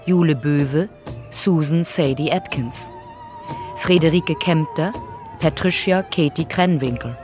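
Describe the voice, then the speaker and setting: Slow-paced, higher-voiced male announcer. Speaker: radio play announcer and narrator. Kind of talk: scripted announcement, credits reading. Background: music.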